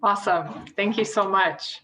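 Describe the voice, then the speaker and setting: Slow-talking upbeat woman host on a call. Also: medium pitch, pushed and bright. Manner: friendly, upbeat, calling on people one by one. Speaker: orientation host. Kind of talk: hosting a video call introduction round. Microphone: video call.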